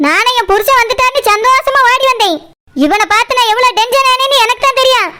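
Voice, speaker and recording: A woman's voice: very high voice, fast, sharp tone, slight background noise. A woman voicing a hot-tempered, bossy house owner in a comedy cartoon, scolding and threatening in Kanyakumari Tamil. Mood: angry, scolding.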